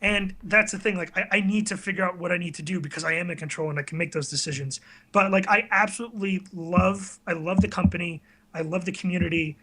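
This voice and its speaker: A man's voice: sits high, fast talker, bright, forceful tone, rough recording. A man talking casually as an interview guest, earnest and a little hesitant, putting himself down modestly. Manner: earnest, hesitant, self-deprecating. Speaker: interview guest, web video creator. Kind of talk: casual conversational chat.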